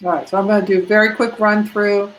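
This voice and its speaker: A woman's voice: medium pitch, flat delivery, fast, poor audio. A woman teaching prenatal massage in a live online class. She talks in a casual, chatty way with many fillers and talks to herself while she clicks through slides.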